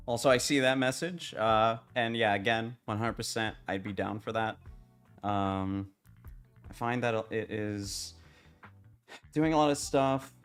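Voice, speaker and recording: A young man streaming a game, chatting casually with viewers in a rambling, halting way, his voice slightly raspy. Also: on the low side, fairly bright tone. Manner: rambling, halting, many restarts and fillers, swears casually.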